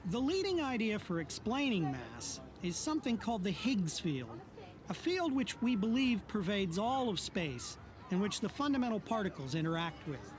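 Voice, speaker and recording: A man's voice: high-pitched, moderate pace, slight background noise. A man narrating a science documentary as the show's correspondent, explaining in a scripted way. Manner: lively, explanatory delivery. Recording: narration over loud music.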